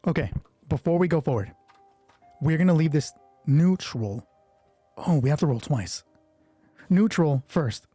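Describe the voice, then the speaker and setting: A man with a husky voice narrates a solo tabletop game casually, thinking aloud and swearing freely as he talks himself through rulings. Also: a little high, lively intonation, unhurried, pauses often, mellow, no echo, faint music behind. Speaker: solo roleplay player and narrator.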